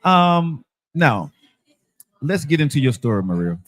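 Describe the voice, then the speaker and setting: Woman talk host, casual and reactive, with animated, swinging pitch. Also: measured pace. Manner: reactive, chatty, echoes the guest's words. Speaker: host, interviewer. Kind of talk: casual chat interview.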